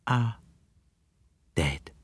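A man voicing a fussy, precise older detective who speaks with polite, measured courtesy and often murmurs softly. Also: mid-range voice, sing-song, no room sound. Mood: courteous.

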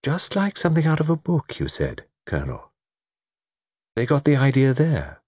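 A man voicing a placid, enigmatic British gentleman in a storybook, murmuring in a measured, dreamy, knowing way. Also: sits low, wildly swooping pitch, gravelly, slightly rough, no echo, studio quality, exaggerated voice. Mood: dreamy, leading.

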